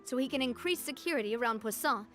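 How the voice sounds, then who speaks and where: A woman's voice: a little high, animated, fast talker, a bit bright. A woman playing a confident, upbeat young gang leader in a video game, breezy and teasing in her delivery. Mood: relaxed. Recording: game music behind the voice. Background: game music.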